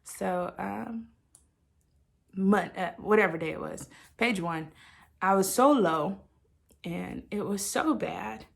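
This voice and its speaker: Casual woman vlogger with a gravelly edge. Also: medium pitch, slow, pauses often. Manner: informal, chatty, candid. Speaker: vlogger sharing a personal video diary. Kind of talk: casual confessional chat to viewers.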